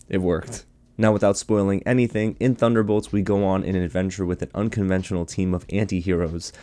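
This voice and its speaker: A man's voice: low voice, mellow. A man hosting his own channel, chatting enthusiastically to viewers in a casual movie review while getting over a cold.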